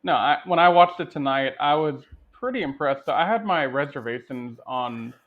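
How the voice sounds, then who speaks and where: A man's voice: on the high side, moderate pace. Conversational man on a dull microphone. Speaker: podcast co-host. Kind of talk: casual film discussion.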